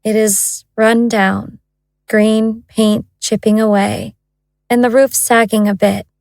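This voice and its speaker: A woman's voice: on the high side, level tone, takes it slowly, creaky, darkish tone, no echo. A woman narrating a scripted audio drama as its storyteller.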